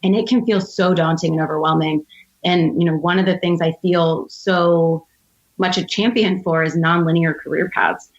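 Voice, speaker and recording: A woman's voice: lowish voice, fairly even intonation, normal speed, muffled recording. An American woman in her thirties telling personal stories in an articulate, reflective, conversational way as an interview guest. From United States, outside Philadelphia. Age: 30s. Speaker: podcast guest, entrepreneur.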